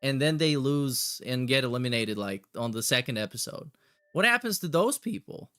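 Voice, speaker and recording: A man's voice: medium pitch, expressive, normal speed, bright, forceful tone, no echo, studio quality. A man hosting a casual livestream, making sarcastic, mocking asides as he reacts to another streamer. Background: music and another streamer's video.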